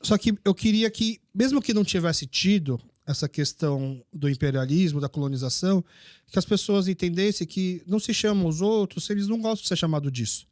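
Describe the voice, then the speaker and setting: A man hosting a casual interview, telling stories in an animated way and acting out the dialogue. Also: high-pitched, fairly quick, no room sound, clean studio sound. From Brazil. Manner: animated storyteller who acts out dialogue. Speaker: podcast host.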